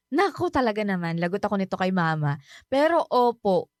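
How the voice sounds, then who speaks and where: A woman's voice: mid-range voice, big pitch swings, normal speed, a little mellow, no echo, studio quality, lightly acted. An actress playing a cheerful, polite young Filipino woman in a radio drama, chatty and respectful toward an older family friend. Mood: chatty, open. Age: young adult.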